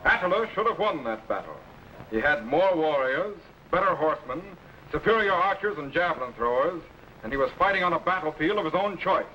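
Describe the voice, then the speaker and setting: An actor playing a commanding, knowledgeable scientist captain in a 1950s sci-fi serial, giving crisp orders. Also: male voice, on the high side, animated, a little fast, lightly acted. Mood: determined. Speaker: time machine captain. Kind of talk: 1950s radio-style sci-fi acting. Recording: dull lo-fi old broadcast with faint hiss.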